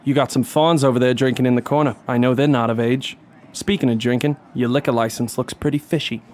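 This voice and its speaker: A man's voice: mid-range voice, fairly even intonation, brisk, a little mellow, slight background noise, lightly acted. A man playing a tough, sardonic police detective in a noir comedy audio drama, with a threatening edge. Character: tough, wry hard-boiled male police detective. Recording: music behind the voice.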